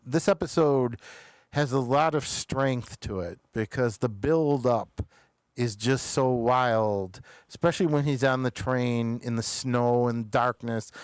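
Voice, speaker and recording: A man hosting a show, chatting casually through episode reviews in a halting way, pausing often mid-thought. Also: medium pitch, a little mellow, clean tone, dry recording.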